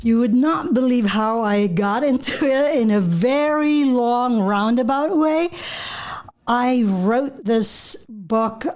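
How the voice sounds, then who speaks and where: Filipino lady author chatting in an interview, middle aged, medium pitch. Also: a little mellow. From Philippines. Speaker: interview guest, novelist. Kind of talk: conversational interview answers.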